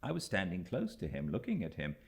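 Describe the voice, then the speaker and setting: A British man narrates classic literature in an extremely low voice. There is a gravelly creak to it. His delivery is measured and expressive, with deliberate pauses that shape each phrase. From Britain. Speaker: audiobook narrator. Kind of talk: literary audiobook reading of a classic novel.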